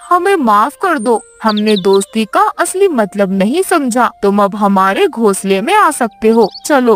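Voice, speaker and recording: Woman as cartoon papa crow, fairly high voice, animated delivery, humble, earnest, kindly. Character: humble, agreeable father crow, adult male. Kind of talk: children's cartoon story dialogue.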